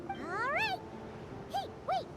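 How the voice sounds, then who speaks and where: A woman voicing a tiny, excitable, childlike fairy companion in a video game, with a squeaky, dramatic delivery. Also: takes it slowly, heavily acted. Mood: alarmed.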